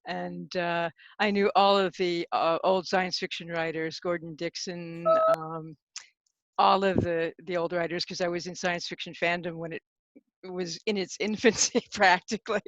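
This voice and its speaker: A woman's voice: lowish voice, fairly even intonation, normal speed, no echo. A woman in her sixties, a bookshop host, chatting and laughing on a video call. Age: 60s. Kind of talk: casual video call chat. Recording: dry, dull lo-fi call audio.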